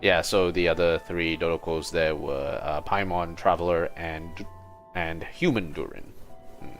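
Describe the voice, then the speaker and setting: A man livestreaming a game in a low-key, musing way, commenting casually and reading text aloud. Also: steady tone, unhurried, soft background music.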